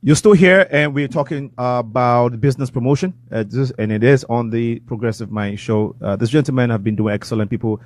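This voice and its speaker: A man hosting a talk show, prompting his guest in a loose, halting way. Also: medium pitch, darkish tone. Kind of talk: interview hosting.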